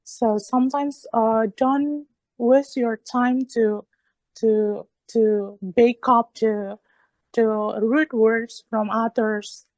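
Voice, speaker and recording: A woman with a fairly high voice and an Indonesian accent, whose native language is Indonesian. She speaks in earnest, encouraging phrases that she often repeats as she searches for words. The sound is dry, with very little room in it. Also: fairly even intonation, extremely slow, darkish tone, no echo, rough recording. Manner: hesitant, repetitive phrasing in earnest, encouraging talk. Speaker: English learner in an online discussion group. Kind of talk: motivational discussion in an online meeting.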